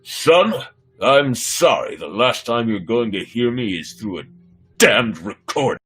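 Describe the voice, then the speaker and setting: Man playing a husky, solemn ailing president. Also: medium pitch, very animated, a little slow, a bit bright, soft background music, pitched below the performer's own voice, heavily acted. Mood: sorrowful. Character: sorrowful, ailing president, a proud older father.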